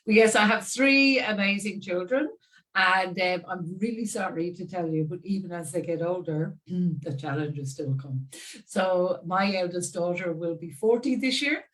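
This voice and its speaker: A woman in her sixties with an Irish accent, a guest chatting about parenting in a lively, laughing way. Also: fairly low pitch, recorded from a distance, poor audio. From Ireland. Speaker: guest expert. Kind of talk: casual conversational interview.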